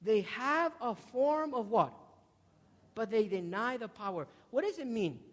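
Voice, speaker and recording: A man's voice: very high voice, very animated, unhurried. A man in his twenties preaching a sermon emphatically to a congregation, repeating key words and putting questions to his listeners. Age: 20s. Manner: emphatic, repeating key words, swinging from loud stresses to hushed asides. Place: large indoor hall before a congregation. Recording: reverberant hall, long echo.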